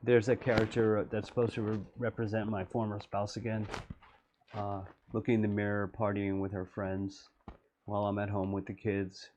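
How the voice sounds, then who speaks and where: Middle-aged guy with a deep flat voice, wistful, talking over his music video. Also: poor audio. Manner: low-voiced, slow and wistful. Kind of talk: reflective commentary.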